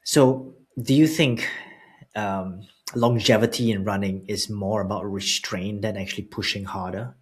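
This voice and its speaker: A man's voice: on the low side, steady tone, unhurried. A man in his forties hosting an interview, asking questions with frequent restarts and repeated words. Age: 40s. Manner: hesitant, repeats words when starting. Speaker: podcast host.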